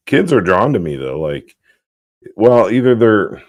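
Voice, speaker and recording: A middle-aged man, a pastor, chatting as an interview guest in an easygoing way with a booming voice. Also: sing-song, slow pace, no room sound. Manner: easygoing, loose with fillers like "like". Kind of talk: casual interview chat.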